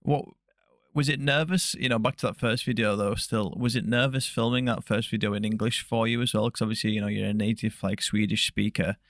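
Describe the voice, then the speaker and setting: A man's voice: fairly low pitch, steady tone, fairly quick, a bit bright, no echo, studio quality. A young man hosting an interview podcast, asking long, rambling questions full of fillers. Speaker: podcast host. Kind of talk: casual interview.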